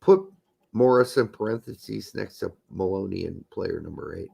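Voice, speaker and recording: A man co-hosting a soccer podcast, emphatic and argumentative, hoarse from losing his voice. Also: low-pitched, normal speed, warm tone. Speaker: podcast co-host. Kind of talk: casual soccer talk.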